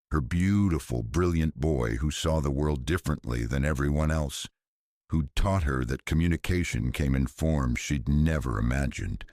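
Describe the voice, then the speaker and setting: Very deep, slow male narrator. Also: darkish tone, no room sound, clean studio sound. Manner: measured, serious. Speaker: audiobook narrator. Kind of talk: scripted thriller narration.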